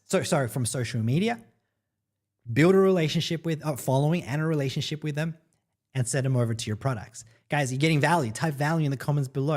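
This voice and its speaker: An upbeat middle-aged man from El Salvador talks straight to his livestream viewers as a marketing coach. His voice sits at an ordinary middle pitch, and he keeps the chat loose with "right?" and "guys". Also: darkish tone. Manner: upbeat and chatty, peppering lines with "right?" and "guys". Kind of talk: casual livestream talk to viewers.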